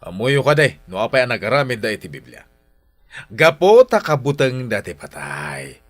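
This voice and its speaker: A man's voice: mid-range voice, big pitch swings, normal speed, dry recording. A defiant, mocking husband in a Philippine radio drama. He is surly and scoffing in a mid-range voice, with faint music underneath. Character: defiant, mocking, hard-drinking husband and vegetable farmer.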